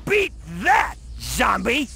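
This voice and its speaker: Bombastic high-pitched police chief. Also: male voice, big pitch swings, slow, creaky, full character voice. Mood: menacing. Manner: bombastic, threatening. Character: belligerent, theatrical hard-boiled male police chief. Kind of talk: video game cutscene acting. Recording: music behind the voice.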